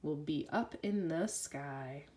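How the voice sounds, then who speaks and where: A woman reading a rhyming children's story aloud with an expressive, sing-song delivery. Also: deep voice, slow pace.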